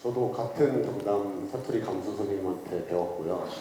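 A man's voice: on the low side, normal speed, dark tone, slight background noise. A man, an actor, speaking in a friendly way at a press event. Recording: distant mic in a reverberant hall.

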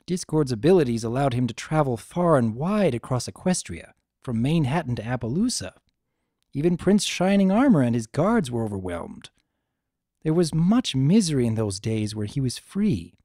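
A man narrating a piece of fan fiction as a dramatic reading, measured and expressive. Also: medium pitch, no room sound, clean studio sound. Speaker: story narrator.

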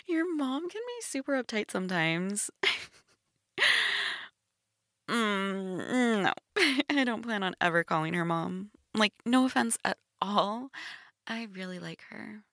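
A woman's voice: mid-range voice, animated, a little slow, creaky, long pauses, fairly bright tone, no room sound, studio quality. A young woman playing a shy, teasing goth stepsister in an intimate audio role-play, casual, hesitant and giggly. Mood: amused, teasing.

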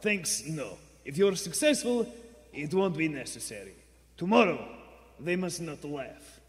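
A man playing a cold, scornful spy boss with deliberate delivery in a radio play. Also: on the high side, sing-song. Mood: coolly threatening. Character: Vladimir, cold, menacing male spy boss. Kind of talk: vintage radio play acting. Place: theater stage before a live audience. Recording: echoey theater stage.

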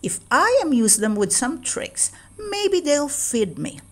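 A hungry, indignant pet cat from a children's story, put on by a woman reading aloud. He exclaims in a raised, high pitch. His delivery is dramatic, with drawn-out emphasis. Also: sing-song, full character voice. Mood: scheming.

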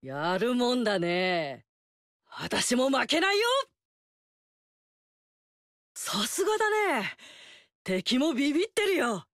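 A woman's voice: on the high side, big pitch swings, slow, pauses often, a bit bright, dry recording, studio quality, full character voice. An actress playing a fiery, confident warrior queen in a video game, with brash, teasing, tomboyish lines. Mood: proud.

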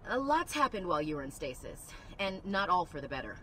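A confident military officer in a video game. Her lowish voice moves with lively intonation and brisk assurance, over faint background noise. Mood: brisk, assured.

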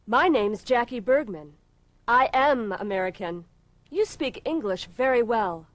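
A woman leads spoken English drills, saying each phrase slowly and with careful articulation. Her pitch sits a little high and swings widely to show the intonation. The sound is dry and close. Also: animated, dry recording. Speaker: English teacher. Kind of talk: slow pronunciation drills.

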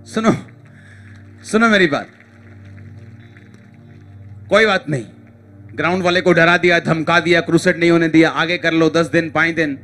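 A man's voice: fairly high pitch, moderate pace, pauses often, sharp tone. A male pastor preaches to a congregation. He is emphatic and exhorting, hammering phrases by repetition. Music plays behind his voice. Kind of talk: impassioned church sermon to a congregation.

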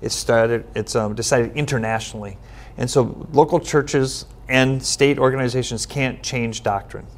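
A middle-aged man from Minnesota, a United Methodist pastor, giving steady, earnest answers in a studio interview, with frequent "um" fillers. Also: mid-range voice, normal speed. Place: studio set with bookshelf backdrop, seated at table.

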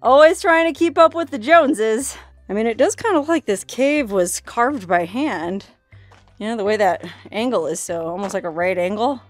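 A woman's voice: a little high, animated, moderate pace. A woman in her thirties talks casually to the camera she holds at arm's length while poking around a rock cave. She chats playfully, laughs often and makes up silly stories as she goes. Faint music plays underneath. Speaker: solo outdoor adventure vlogger. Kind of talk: casual vlog narration while exploring. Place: outdoors, inside a rock cave and cabin in a canyon. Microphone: handheld camera at arm's length.

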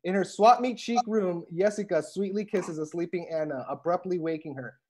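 A man's voice: high voice, moderate pace, a little mellow. A man in his thirties reading stage directions as scripted narration for a comedy table read, on a video call with earphones. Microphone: wired earphones on a video call.

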